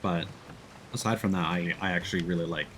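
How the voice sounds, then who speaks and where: A man's voice: sits low, steady tone, brisk, fairly bright tone, a little hiss or hum. A man chatting casually as he livestreams a game, drifting between his own thoughts and greetings to viewers. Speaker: livestreamer, VTuber. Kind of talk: casual livestream chat over gameplay.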